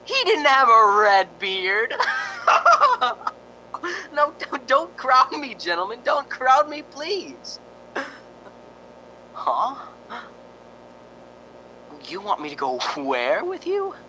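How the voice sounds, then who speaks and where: A man acts out a frightened young radio host sliding into mania in a radio drama. His bright voice swoops up and down with jittery, stammering theatricality. A faint rumble sits behind him. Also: sing-song, extremely slow, pauses often, heavily acted, rough recording. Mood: manic. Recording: faint rumbling background noise.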